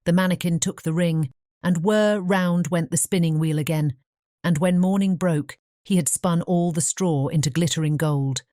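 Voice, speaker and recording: A female storybook narrator with a British English accent. Her voice is low and mellow, and the recording is close and very dry as she reads the scripted tale. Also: normal speed, dry recording.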